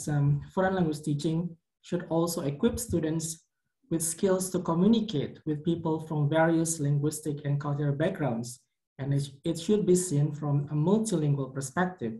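A young man whose first language is Indonesian, a PhD candidate giving a measured conference presentation with occasional fillers. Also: fairly high pitch, warm tone, roomy sound, poor audio. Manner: careful, measured academic delivery with some fillers. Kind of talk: recorded conference presentation over slides.

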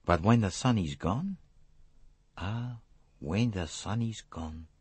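Shrewd old foreign detective for an audiobook, formal and slow, husky, very dry sound. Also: male voice, on the low side, a little mellow, dry recording, full character voice. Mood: gently musing. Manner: slow, emphatic, formal phrasing. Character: elderly foreign detective, courteous, shrewd and deliberate.

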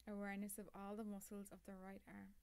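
A woman leading a guided meditation as a yoga nidra teacher, calm and soothing, with measured phrases, long pauses and a soft voice that turns breathy at phrase ends. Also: medium pitch. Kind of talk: guided meditation, scripted instruction.

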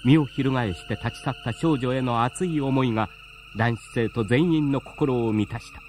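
A man's voice: mid-range voice, moderate pace, a little mellow, slight background noise, natural voice. A man narrating a scripted radio drama as the storyteller of an eerie tale. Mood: solemn.

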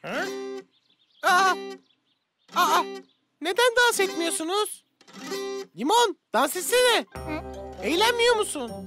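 A very high, squeaky cartoon character, full of quick exclamations and pleading. Music plays along in the background of this dubbed cartoon. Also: female voice, big pitch swings, full character voice. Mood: anxious. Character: excitable young cartoon friend.

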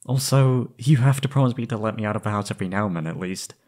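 A young man playing an anguished, lovesick former captive in an intimate roleplay audio, halting and pleading. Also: on the low side, darkish tone, natural voice. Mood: relieved, tender. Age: young adult.

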